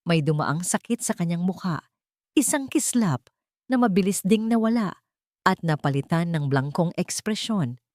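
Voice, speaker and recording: Woman, story narrator, slightly low voice, very animated pitch, dramatic, dry studio-like sound. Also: normal speed, dry recording.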